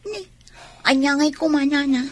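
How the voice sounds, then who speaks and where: A woman's voice: sits high, normal speed, fairly bright tone. An actress playing a headstrong young woman in love in a radio drama, with an Ilocano accent. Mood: polite, uneasy.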